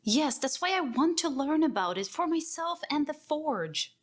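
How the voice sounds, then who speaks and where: Woman acting quirky tech-priest scholar, highish voice, expressive, curious, nervy, affectionate, sci-fi audio drama. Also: a little slow. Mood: nervous pleading.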